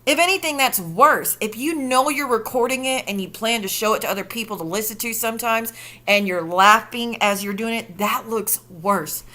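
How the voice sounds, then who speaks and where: A woman's voice: a little high, moderate pace, pushed and bright. A woman streaming reaction commentary, sarcastic, profane and incredulous.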